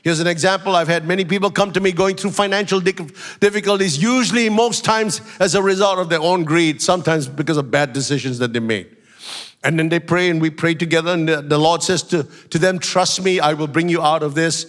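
Male pastor, high-pitched, slightly nasal with a cold; sermon delivery with shouted, slowed emphasis. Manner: preaching, rising to shouts and slowing for emphasis. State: has a flu.

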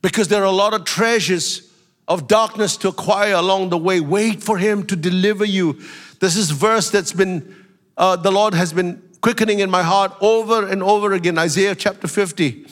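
Pastor guy preaching, kinda nasal from a flu, high voice, gets loud and drawn out on the big points. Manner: preaching, rising to shouts and slowing for emphasis. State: has a flu. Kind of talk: sermon.

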